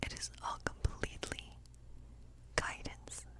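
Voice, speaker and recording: A gentle cottage witch, played by a woman in an ASMR fantasy role play. She speaks entirely in a soft, breathy whisper. Her delivery is unhurried and musing, as if quietly reading tarot at night. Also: close to an everyday voice.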